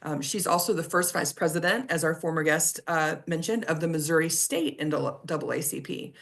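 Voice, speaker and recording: A woman's voice: deep voice, fairly even intonation, moderate pace. A woman hosting a club meeting, reading a friendly introduction of the guest speaker with small self-corrections.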